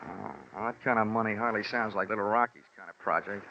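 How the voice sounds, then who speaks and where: An actor playing a wry, cocky, wisecracking insurance investigator in an old-time radio drama, with wordy, flippant narration and dialogue. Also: male voice, lowish voice, steady tone, fast, bright, forceful tone. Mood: wry, thoughtful. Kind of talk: 1940s-50s radio acting, first-person narration and dialogue. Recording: noisy, muffled old radio broadcast.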